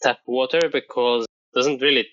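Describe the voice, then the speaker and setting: A man's voice: medium pitch, little pitch movement, normal speed, fairly bright tone. A man hosting a casual interview, speaking hesitantly with fillers. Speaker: podcast host. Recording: dull lo-fi audio.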